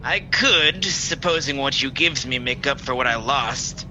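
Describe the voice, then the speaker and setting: Over clearly noisy home audio, a man plays a ruthless older street thug for a radio play. The voice sits at an ordinary male pitch and delivers threats with menace. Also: measured pace, lightly acted. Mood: grudging, threatening. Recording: noisy home video-call recording.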